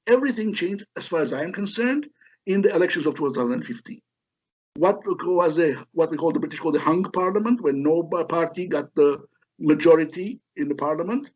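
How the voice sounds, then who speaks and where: A middle-aged man from Lebanon speaks in a fairly high voice through slightly dull, lo-fi audio. He explains like a professor being interviewed, feeling his way haltingly through detailed answers. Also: darkish tone. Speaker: history professor, interview guest.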